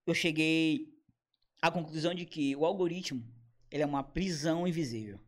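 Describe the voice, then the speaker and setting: A man in his thirties, a comedian and motion designer, chatting casually in a slangy, animated way with quoted asides. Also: fairly high pitch, fairly quick. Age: 30s. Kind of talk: casual podcast conversation.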